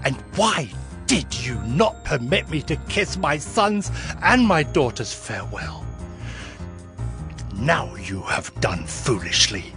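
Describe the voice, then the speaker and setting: An actor playing an aggrieved older patriarch in an audio Bible drama, accusing and dramatic. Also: male voice, a little high, very animated, music in the background. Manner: dramatic, drawn-out, accusing delivery.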